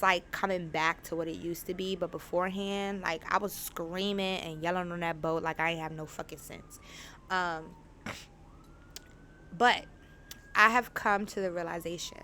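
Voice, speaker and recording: Young woman podcaster, lowish slightly raspy voice, candid animated monologue. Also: a little hiss or hum. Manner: chatty, frank, animated storytelling. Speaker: podcast host.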